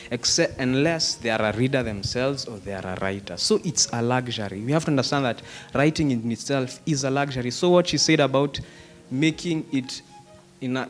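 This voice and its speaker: A young man from Kenya speaks up from the audience in a theatre hall, arguing his point with conviction. His voice sits at an ordinary middle pitch, and he drops Swahili phrases into his English. Faint music plays underneath. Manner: argumentative, mixes in Swahili phrases. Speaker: audience member asking a question. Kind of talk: impassioned audience comment at a panel. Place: theatre hall at a panel discussion.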